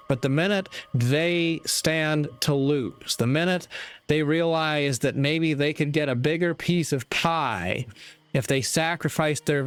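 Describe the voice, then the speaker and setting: A man giving a preachy opinion monologue in deliberate, halting phrases with rhetorical asides. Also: fairly high pitch, clear, resonant tone. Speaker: commentator delivering a moral monologue.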